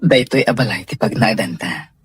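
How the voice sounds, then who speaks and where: A man's voice: lowish voice, brisk, fairly bright tone, breathy edge, dry recording. A Filipino man playing a devoted young sweetheart in a radio drama, speaking earnestly and tenderly. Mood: earnest, reassuring. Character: devoted young male sweetheart surprising his beloved with a house. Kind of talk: Ilocano radio drama acting.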